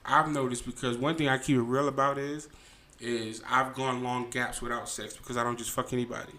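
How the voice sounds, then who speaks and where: A man's voice: medium pitch, flat delivery, brisk, pushed and bright. A young man co-hosting a podcast, talking bluntly and animatedly in casual slang. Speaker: podcast co-host. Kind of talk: casual chat.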